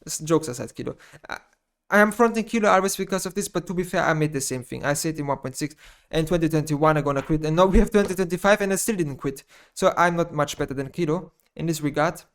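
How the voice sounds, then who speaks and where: A man with a German accent, a native German speaker, giving an unscripted personal monologue to his channel, rambling and halting, often repeating phrases and restarting sentences. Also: a little high, a bit bright, clean tone. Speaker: gaming YouTuber.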